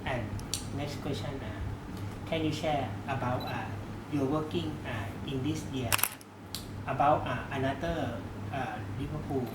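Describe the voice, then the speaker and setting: Halting interviewer with broken English and lots of pauses, mid-pitched, heard from a distance over loud noise. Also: fairly even intonation. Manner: hesitant, many "uh" fillers, broken English. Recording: echoey, distant mic with loud background noise.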